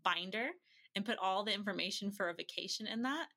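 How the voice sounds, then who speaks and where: Bubbly woman with a bright, forceful voice. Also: mid-range voice, brisk. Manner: bubbly, expressive storytelling. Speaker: podcast guest. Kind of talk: casual anecdotal interview chat.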